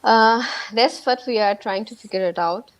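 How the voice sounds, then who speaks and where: A woman's voice: on the high side, normal speed, a little hiss or hum. A middle-aged woman with a Pakistani accent, a guest on a video-call panel, talking earnestly in long run-on sentences. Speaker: guest, founder of a women's network. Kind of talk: casual online panel talk.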